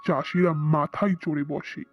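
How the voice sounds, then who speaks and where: A man's voice: fairly high pitch, steady tone, moderate pace, close to an everyday voice. A man voicing a callous, haughty village landlord in a cartoon, speaking with scorn. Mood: scornful. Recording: dull lo-fi sound with faint music.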